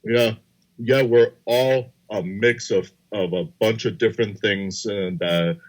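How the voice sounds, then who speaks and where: A young man co-hosting a casual podcast, speaking hesitantly with many pauses and restarts. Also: fairly low pitch, fairly even intonation. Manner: hesitant, full of fillers and restarts. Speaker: podcast co-host.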